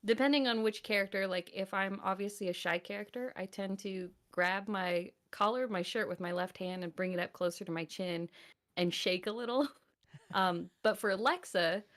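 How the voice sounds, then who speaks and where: A woman with a medium-pitched voice talks informally as a guest. She comes across as cheerful and pokes fun at herself as she explains things. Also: moderate pace, fairly bright tone. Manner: cheerful, self-deprecating. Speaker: voice actor, guest. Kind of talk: casual chat.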